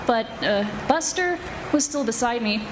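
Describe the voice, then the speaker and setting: A woman's voice: a little high, a little slow, background noise. A woman playing a wry, breezy female private eye who narrates in 1940s radio detective style. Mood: sardonic, unruffled. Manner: wry, breezy, flirtatious deadpan. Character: wisecracking glamorous female private eye, former model. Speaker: radio theatre actor. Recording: live stage recording with music.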